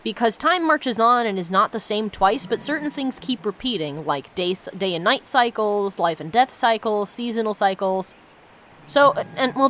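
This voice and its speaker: A woman's voice: on the high side, a bit bright. A woman giving casual, reflective commentary as she plays a game and reads its story aloud. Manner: musing, reflective. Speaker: let's play host.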